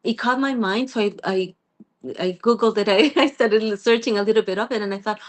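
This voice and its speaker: Middle-aged woman from Peru, guest sharing a personal story, earnest and halting, mid-pitched. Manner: earnest, halting with frequent restarts and soft laughs. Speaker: guest sharing her spiritual journey. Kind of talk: reflective personal sharing in a group call.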